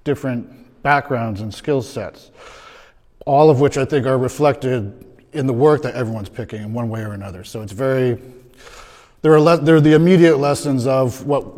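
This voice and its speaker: Casting: man, museum guard, halting speech, long hall reverb. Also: mid-range voice, a little mellow. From Baltimore. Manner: hesitant, with fillers and restarts. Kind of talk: interview testimonial.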